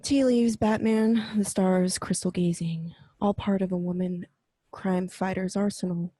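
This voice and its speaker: Breezy young heroine voiced by a woman, mellow tone, dry booth sound. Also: medium pitch, fairly even intonation, a little slow, dry recording, close to an everyday voice. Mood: playful. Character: Barbara Gordon/Batgirl, young woman, breezy and coy. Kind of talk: amateur radio play reading.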